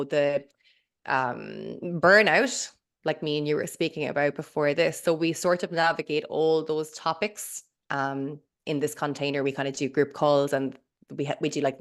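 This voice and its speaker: Chatty low-voiced Irish woman. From Ireland. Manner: chatty, rambling, swears and self-interrupts. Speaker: mindset coach, podcast guest. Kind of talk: casual interview chat.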